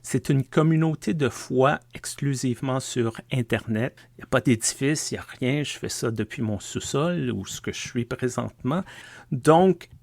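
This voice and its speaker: Man, pastor, gravelly, dry close recording, measured and reflective. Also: mid-range voice, no echo. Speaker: pastor and podcast co-host. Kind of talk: casual conversation. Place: home basement.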